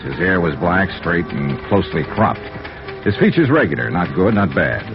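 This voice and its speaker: An American actor playing a brisk, clipped insurance investigator in an old-time radio noir drama. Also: male voice, low voice, sing-song, over music. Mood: crisp, businesslike. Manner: clipped, businesslike. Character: brisk, hard-boiled male insurance investigator. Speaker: radio drama lead and narrator. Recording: muffled, noisy old radio recording.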